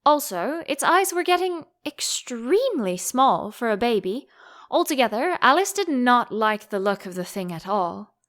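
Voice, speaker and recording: A woman narrating a storybook as an audiobook reader, in an expressive style. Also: on the high side, moderate pace.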